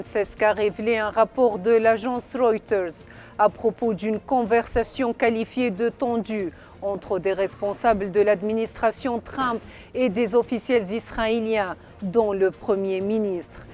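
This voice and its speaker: A woman narrating a scripted news report in a formal, measured delivery. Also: on the high side, steady tone, darkish tone. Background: background music.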